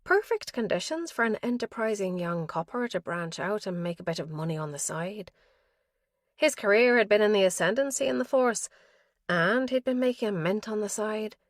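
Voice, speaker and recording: A woman narrating a mystery thriller audiobook in a measured, tense storytelling manner. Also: medium pitch, expressive, no room sound, studio quality.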